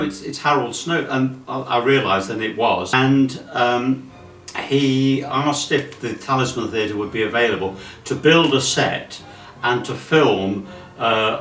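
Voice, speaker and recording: Older male, English accent, low-leaning voice, anecdotal delivery, distant echoey room sound. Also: fairly bright tone. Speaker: theatre member telling an anecdote. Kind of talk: casual anecdote.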